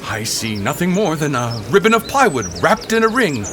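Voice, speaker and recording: Man acting appalled, high-pitched city inspector. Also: very animated, moderate pace, over music. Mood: stern. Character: appalled male city inspector, indignant and horrified. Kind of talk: comedic audio drama acting.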